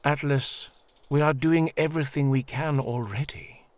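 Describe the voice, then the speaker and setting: A man playing a paternal, devout high priest in an audio drama, speaking in a measured, formal and placating way. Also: fairly even intonation, natural voice. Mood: firm, admonishing.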